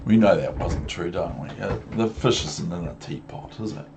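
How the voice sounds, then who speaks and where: A man's voice: sits low, moderate pace, gravelly, noisy background. A man with a New Zealand accent reading a storybook to young children, rambling into chatty asides and questions for the listener. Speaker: storybook reader.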